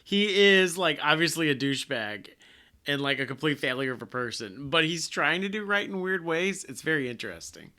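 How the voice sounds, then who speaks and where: A man on a podcast chiming in with quick, hesitant asides. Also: on the high side, expressive, sharp tone. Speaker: podcast co-host. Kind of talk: casual chat.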